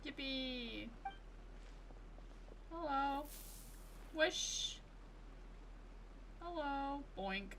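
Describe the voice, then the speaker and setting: A woman streaming a game, with a fairly high, very animated voice. She makes wry, playful asides at a slow pace, with game music behind her. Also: a little hiss or hum. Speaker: streamer playing a video game. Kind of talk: casual playthrough commentary.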